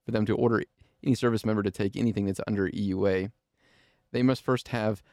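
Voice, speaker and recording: Low, mellow-voiced male podcast host. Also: steady tone, fast talker, no room sound, clean studio sound. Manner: earnest, emphatic explaining. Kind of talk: interview podcast.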